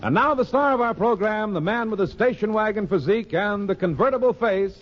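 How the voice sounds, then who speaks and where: A man's voice: sits high, expressive, brisk, faint music behind. A man announcing a vintage radio show and reading sponsor copy with polished, showy delivery. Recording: dull, noisy old radio recording.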